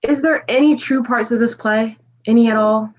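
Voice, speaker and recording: A woman's voice: fairly high pitch, moderate pace, natural voice. A woman in a scripted comedy, playing a skeptical, impatient listener, on a slightly muffled recording. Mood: incredulous.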